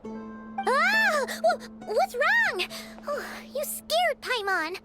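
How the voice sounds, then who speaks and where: A woman voicing a small, chirpy, excitable female fairy companion in a video game, with a squeaky childlike voice and exclamatory manner. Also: very slow, music in the background, full character voice. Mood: startled, curious. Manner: refers to self in third person, exclamatory. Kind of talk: video game cutscene voice acting.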